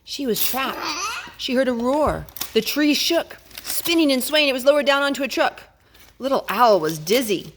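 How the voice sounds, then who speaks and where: A woman's voice: high-pitched, expressive, moderate pace, a little hiss or hum. A woman reading a children's storybook aloud as a storyteller, with dramatic, emphatic phrasing.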